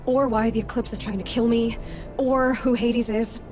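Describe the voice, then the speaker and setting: A woman's voice: on the high side, fairly even intonation, moderate pace, lightly acted. An actress playing a sardonic, defiant young woman adventurer in a video game, speaking in a wry, blunt, impatient way. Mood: searching, frustrated.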